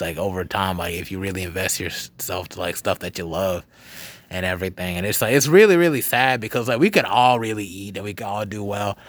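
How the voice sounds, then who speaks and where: Rambling young man, lowish voice. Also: fairly bright tone, dry recording. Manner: rambling, heavy use of fillers and restarts. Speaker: podcast host. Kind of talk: casual conversation.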